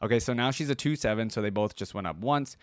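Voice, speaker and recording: A man's voice: lowish voice, fast talker, no room sound, clean studio sound. A man giving a casual live reaction commentary, thinking aloud in an informal way as the host. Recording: music behind the voice. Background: game trailer audio and music.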